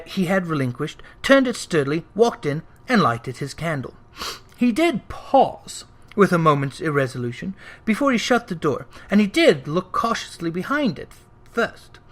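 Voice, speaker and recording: Theatrical storybook narrator with deliberate emphasis. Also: mid-range voice, a bit bright. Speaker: narrator, audiobook reader. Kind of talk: expressive dramatic storybook reading of a classic ghost story.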